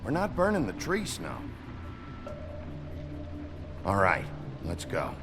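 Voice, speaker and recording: Gruff weary sheriff, lowish voice. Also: male voice, huge pitch swings, slow pace, over music, exaggerated voice. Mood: firm, weary. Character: gruff, weary male sheriff, blunt but sympathetic. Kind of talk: video game voice acting.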